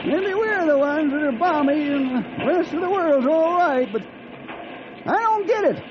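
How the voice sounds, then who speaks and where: Very high-pitched cranky old cowhand. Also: male voice, animated, unhurried, noisy background. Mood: baffled. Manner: folksy rustic grammar, fretful grumbling. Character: cranky, folksy old male ranch sidekick. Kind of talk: old-time radio drama acting. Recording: dull old radio recording.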